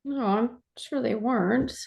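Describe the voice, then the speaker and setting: A woman acting a polite, bewildered runaway bride in a staged play reading, with a hesitant, halting way of talking. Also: on the high side, gravelly, a little mellow. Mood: dismayed, polite.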